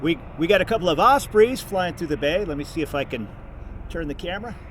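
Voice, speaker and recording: A man's voice: a little high, lively intonation, fairly quick. A man giving casual, off-the-cuff commentary as a plane-spotting vlogger, outdoors. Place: outdoors near an airport runway. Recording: outdoor recording with faint traffic noise. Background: faint vehicle and outdoor ambience.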